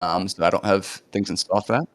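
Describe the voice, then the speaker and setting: A man presenting a live coding demo, chatting casually with lots of fillers, his voice a bit hoarse as he recovers from illness. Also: low-pitched, fairly even intonation, quick pace, fairly bright tone, no echo. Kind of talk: live screen-share walkthrough.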